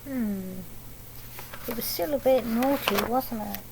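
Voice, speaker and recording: A woman reading a picture book aloud to young children, pausing to explain things simply and ask them questions. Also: a little high, creaky, background noise. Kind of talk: read-aloud picture book storytelling with asides.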